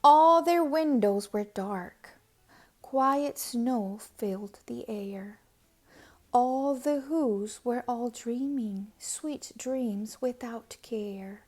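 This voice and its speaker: A woman reading a children's storybook aloud in an expressive, deliberate, dramatic way, hushed at times. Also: a little high. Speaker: storybook reader.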